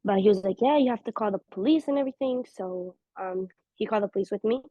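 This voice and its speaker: A woman's voice: medium pitch, dry recording. A woman from Israel with an Israeli accent, telling personal stories in a casual talk and acting out the people she quotes. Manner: fast, animated, acts out quoted voices. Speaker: interview guest. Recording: dull lo-fi recording.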